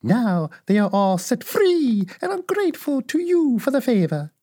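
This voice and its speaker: A reader voicing a kindly, calm little old woman who is a good witch, speaking sweetly and a little husky. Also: measured pace. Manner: high, sweet, gently cheerful.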